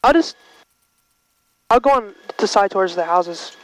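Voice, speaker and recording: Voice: medium pitch, animated, unhurried. A person chatting casually as a vlogger and passenger, recorded through a small plane's intercom. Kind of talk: casual chat to camera and pilot. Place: inside a small airplane cockpit. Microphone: GoPro with cable into the aviation intercom headset. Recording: noisy small-plane cockpit intercom audio. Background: aircraft engine noise.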